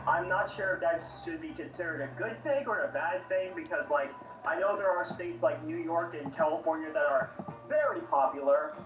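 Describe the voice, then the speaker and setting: A man joins the chat over a call, speaking haltingly with many fillers before landing blunt opinions. His voice sits a little high. The audio is thin and phone-like, distant and roomy, with music clearly behind him. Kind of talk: casual chat. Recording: tinny echoey call audio from afar.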